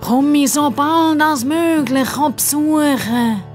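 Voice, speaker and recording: A woman voicing a courtly, wistful male crocodile with drawn-out, polite speech in a children's audio story. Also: sits high, faint music behind, voice pushed up. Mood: warm farewell.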